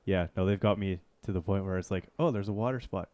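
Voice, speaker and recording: Low-voiced, playful man. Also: fast talker, darkish tone, dry recording. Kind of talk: casual chat.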